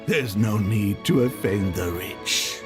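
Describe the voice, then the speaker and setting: A man's voice: fairly low pitch, takes it slowly, music in the background. An actor playing a fussy, worldly middle-aged man in a video game, placating and long-winded, with a wordy, cautious, lecturing manner. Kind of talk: acted video game dialogue.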